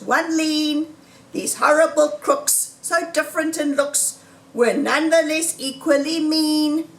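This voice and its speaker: A high-voiced woman reads a children's storybook aloud with dramatic emphasis. Her pitch swings in a lively way as she narrates. The recording is roomy and echoing. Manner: deliberate, dramatic read-aloud delivery with emphasis. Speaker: storyteller reading a children's book. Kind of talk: expressive scripted storybook narration. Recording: roomy echo, distant microphone.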